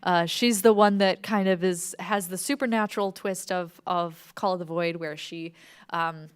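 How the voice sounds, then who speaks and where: A woman's voice: fairly low pitch, steady tone, normal speed, clean tone. A woman talking casually and enthusiastically as a podcast creator at a public event, often dropping in "like". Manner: informal, enthusiastic, frequent "like". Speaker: podcast creator and panel speaker. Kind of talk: casual chat at a public talk. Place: library event with audience.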